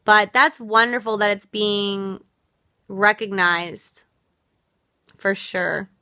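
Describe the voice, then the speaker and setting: A woman's voice: medium pitch, takes it slowly, bright, forceful tone, smooth, no echo, studio quality. A woman from the United States hosting a casual live stream, earnest and reflective as she answers viewer comments, her voice worn out. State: losing her voice. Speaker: livestream host.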